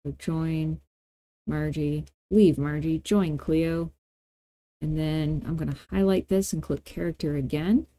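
A woman narrating a software tutorial casually and playfully, thinking aloud as she goes. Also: low-pitched, steady tone, measured pace. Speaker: tutorial host.

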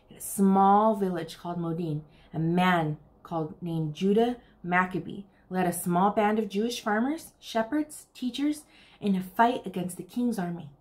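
An American woman, around her thirties, reading aloud expressively as the host of a children's storytime. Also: medium pitch. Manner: addresses young listeners, expressive picture-book reading.

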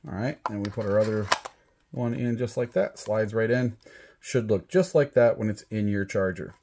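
A man's voice: fairly low pitch, normal speed, a little mellow. A man with an American accent giving a casual, plain-spoken product demonstration, instructing step by step. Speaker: product demonstrator and rock hunter. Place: small indoor room at a table. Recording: clearly audible background noise.

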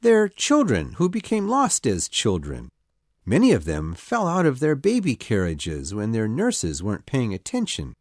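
Brief: a woman playing a cocky ageless boy in a storybook, lowered voice, dry close sound. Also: wildly swooping pitch, unhurried, darkish tone, no room sound, full character voice. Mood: earnest. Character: boy Peter, eternally young, boastful leader. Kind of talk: storybook reading.

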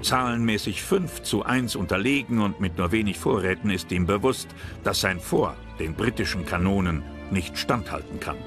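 A man's voice: on the low side, normal speed, few pauses. A man reading scripted historical narration for a documentary. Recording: music behind the voice.

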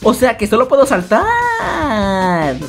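A woman giving casual, slangy gameplay commentary and reading game text aloud, in an excitable way. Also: a little high, slow, music in the background. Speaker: Let's Play gamer.

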